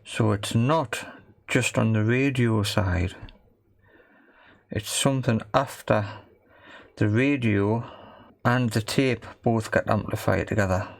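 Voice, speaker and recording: A man's voice: fairly low pitch, slow, creaky, pauses often, no echo. A man giving casual, tentative and self-deprecating commentary as he does a hands-on repair, muttering his thoughts aloud. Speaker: amateur repair video maker. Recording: faint background noise with workbench clicks.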